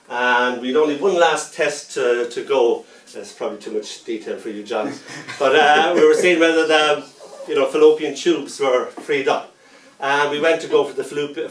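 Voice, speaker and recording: A man reading his poetry aloud, with a rhythmic, playful delivery that softens into gentle lullaby lines. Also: medium pitch. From Ireland. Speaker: poet. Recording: roomy sound from a distant mic.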